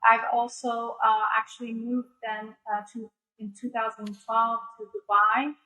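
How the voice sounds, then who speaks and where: Bright-voiced woman, raised in America. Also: fairly high pitch, little pitch movement, low-quality recording. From Egypt, raised in the US. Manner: measured, with frequent fillers. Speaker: guest speaker. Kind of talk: online interview answers.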